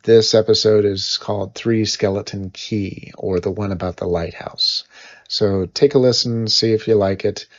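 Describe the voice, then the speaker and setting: A man's voice: on the low side, steady tone, normal speed, no room sound. A man hosting with a casual, informal introduction style. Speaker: host presenting old radio episodes. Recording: dull, lo-fi, dry recording.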